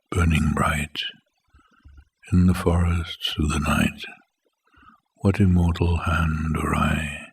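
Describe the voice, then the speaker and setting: Very deep, gravelly man reciting poetry. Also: far from the mic. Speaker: poetry reader.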